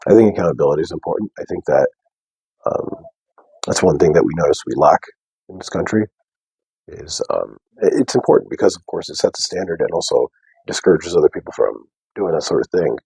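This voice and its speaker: A young man with a deep bass voice, talking softly and casually to viewers on a live stream. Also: expressive, a little fast, creaky, slightly rough, no echo, rough recording. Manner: soft, unprojected speech. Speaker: livestream host. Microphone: wearing a mic.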